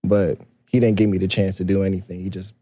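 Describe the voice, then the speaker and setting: A man co-hosting a podcast, telling an anecdote in an animated, amused way. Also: deep voice, quick pace. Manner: animated storyteller, laughing through anecdotes. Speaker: podcast co-host. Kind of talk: casual podcast chat.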